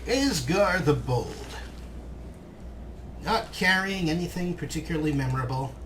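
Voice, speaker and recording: Higher-voiced man musing over gameplay, noisy background. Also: lively intonation, unhurried, fairly bright tone. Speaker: let's play host. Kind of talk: casual gameplay commentary. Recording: clear background game noise. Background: video game sound effects.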